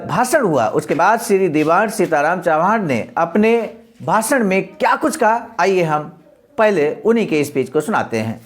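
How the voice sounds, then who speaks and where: A presenter reads a script formally, pausing to explain it and address listeners. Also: mid-range voice. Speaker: presenter of a daily speech-reading programme. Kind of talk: scripted reading with spoken commentary.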